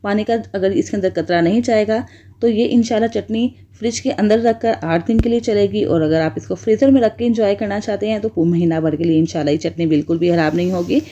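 A woman gives a patient home cooking tutorial. She repeats each step carefully. Her voice is mellow and relaxed, and the recording sounds a little dull. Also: mid-range voice, quick pace, a little hiss or hum. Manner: patient, repetitive step-by-step instruction. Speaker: cooking channel host.

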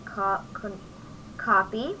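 A woman giving a casual, off-the-cuff software tutorial, thinking aloud as she demonstrates on a home microphone recording. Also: mid-range voice, takes it slowly, slight background noise, rough recording. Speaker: tutorial host.

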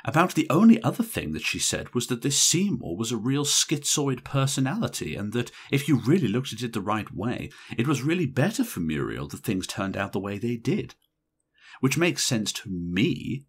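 A man reading an audiobook, voicing a brash, irritable young woman in a bridesmaid's role who talks in a shrill, insistent way. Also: big pitch swings, moderate pace, breathy edge. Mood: gossiping. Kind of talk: audiobook character voice.

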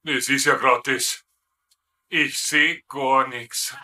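An actor playing a blustering, tipsy Bavarian sergeant who poses as a general, in dubbed sitcom comedy style. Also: male voice, mid-range voice, fairly even intonation, slow pace, sharp tone. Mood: flustered, grumbling. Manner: blustery, exclaiming, indignant.

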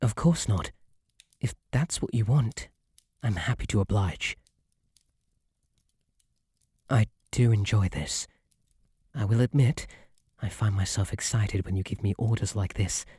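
A man with a British accent playing a shy, devoted, submissive bunny butler in an intimate ASMR roleplay, softly spoken with a formal, deferential, faltering manner. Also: fairly low pitch, moderate pace, slightly rough, dry recording, studio quality. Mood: contented, tender. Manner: flustered, deferential, formal, stammering. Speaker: roleplay audio performer. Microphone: close, dry microphone.

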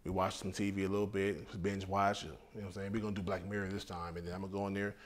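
A man's voice: mid-range voice, expressive, fast talker, close to an everyday voice. An American man in his thirties playing a laid-back, brazen late-night hookup guy in a comedy sketch, talking in street slang. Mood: laid-back bragging. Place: furnished indoor room, filmed sketch.

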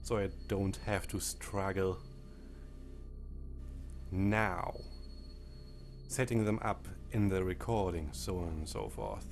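A man whose first language is German, talking over a game menu in halting phrases that break off mid-sentence. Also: sits low, steady tone. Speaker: let's play commentator. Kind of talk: game commentary with disclaimers. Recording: faint game menu music behind.

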